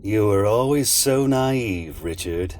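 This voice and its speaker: An actor in an audio drama playing a cold-blooded, condescending villain with a formal, mocking, deliberate manner. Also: male voice, on the low side, expressive, a little mellow, slight background noise.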